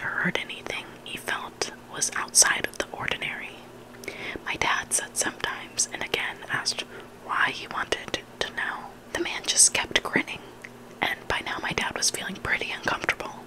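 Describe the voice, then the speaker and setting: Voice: mid-range voice, low-quality recording. Whispering ASMR storyteller. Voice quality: breathy unvoiced whisper. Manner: hushed, deliberate reading in short phrases. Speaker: ASMR reader of online stories.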